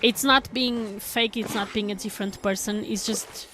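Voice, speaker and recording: A woman's voice: medium pitch, fairly even intonation, normal speed, noisy background. A woman from Portugal, chatting casually with her stream viewers in a rambling way, full of fillers and restarts.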